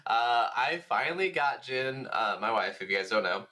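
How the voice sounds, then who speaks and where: A man's voice: mid-range voice, lively intonation, moderate pace, pushed and bright. A man chatting informally as co-host of a gaming podcast, swearing freely. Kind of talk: casual gaming podcast chat.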